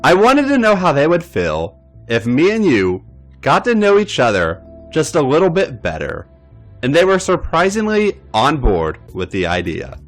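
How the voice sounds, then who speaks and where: A man's voice: huge pitch swings, normal speed, faint music behind. A man doing a coaxing, seductive woman. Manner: raised, coaxing falsetto.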